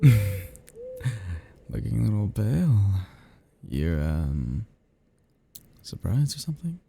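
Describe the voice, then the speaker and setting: A man playing an ancient, flirtatious, possessive vampire in an intimate ASMR roleplay, speaking to the listener softly and huskily in a halting, teasing, casually menacing way. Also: lowish voice, very animated, a little hiss or hum, heavily acted. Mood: teasing menace. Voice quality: soft, husky, gravelly.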